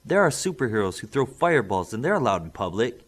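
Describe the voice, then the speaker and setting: A man's voice: mid-range voice, sing-song, fast. A man reading the part of an anxious, protective mother, earnest and insistent, in an audiobook. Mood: worried, indignant.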